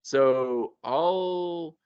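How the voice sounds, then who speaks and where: A man in a casual, informal chat, thinking aloud in halting phrases. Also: on the high side, a little mellow, clear, resonant tone, dry recording, lo-fi sound.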